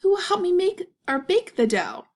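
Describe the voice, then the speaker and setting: A woman's voice: very high voice, sing-song, moderate pace, voice pushed up, full character voice. Woman voicing an indignant, hardworking little red hen. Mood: eager, determined.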